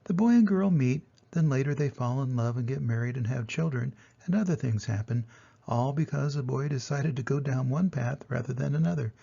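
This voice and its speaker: An older man with a creaky, gravelly voice speaks to young grandchildren in a plainspoken storytime style. His delivery is mellow and soft-edged, with a husky grain. He addresses the children directly as he reads and explains. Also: mid-range voice, brisk, dull audio.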